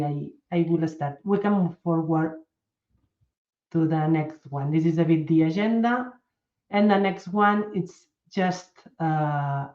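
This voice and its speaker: A woman's voice: lowish voice, roomy sound, muffled recording. A woman with a Spanish accent giving a medical talk, carefully restarting phrases as she searches for English words. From Spain. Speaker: pharmaceutical medical director presenting. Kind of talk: conference presentation over video call.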